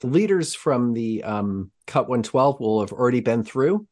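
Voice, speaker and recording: Man, podcast host, middle pitch, rambling, lots of ums. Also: expressive, moderate pace, a little mellow. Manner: rambling, full of ums and restarts. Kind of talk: casual chat.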